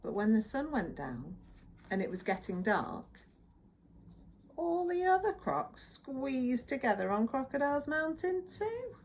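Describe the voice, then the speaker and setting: A woman reading a children's story aloud as a nursery teacher, with dramatic emphasis and hushed moments. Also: high-pitched, big pitch swings, unhurried, slight background noise.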